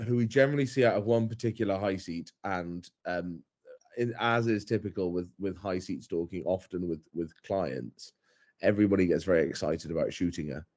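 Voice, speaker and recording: Deep-voiced man, thoughtful and hesitant. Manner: thoughtful, hesitant, many fillers and restarts. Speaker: head stalker, guest. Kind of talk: casual podcast interview.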